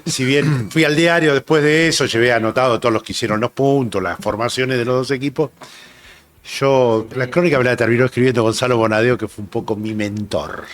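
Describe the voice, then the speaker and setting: A man in his sixties from Argentina with a Rioplatense accent, telling stories as a guest in a relaxed interview, with many filler sounds. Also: medium pitch, fairly quick. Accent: Rioplatense Spanish. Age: 60s. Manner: rambling, reminiscing, many "eh" fillers. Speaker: rugby journalist guest. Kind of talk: relaxed anecdotal interview chat.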